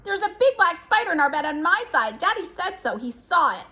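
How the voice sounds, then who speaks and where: A woman voicing a childish, quarrelsome mother in a children's story, shrill and whiny. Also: big pitch swings, brisk, pushed and bright, heavily acted. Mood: squealing panic.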